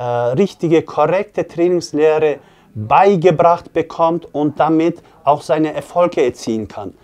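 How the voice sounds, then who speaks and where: A man in his forties, a fitness coach, explains earnestly to camera with hesitant, pause-filled phrasing. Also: fairly high pitch, darkish tone. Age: 40s. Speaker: fitness coach and gym owner. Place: small indoor office.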